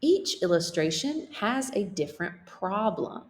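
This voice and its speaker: A woman's voice: mid-range voice, sing-song, measured pace. A woman teacher reading a picture book aloud as a lesson for children, explaining things simply and checking in with questions.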